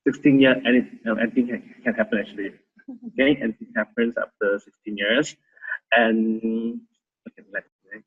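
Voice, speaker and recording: Man, 30s, Malaysian accent, husky, halting speech, tinny phone-like recording. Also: on the low side, level tone. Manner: halting, many fillers and restarts. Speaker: volunteer sharing his story. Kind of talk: casual testimony sharing.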